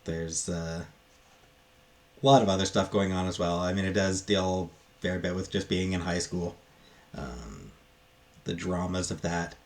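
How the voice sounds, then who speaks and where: A man giving a casual, unscripted book review in a halting, rambling way that often trails off. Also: sits low, darkish tone.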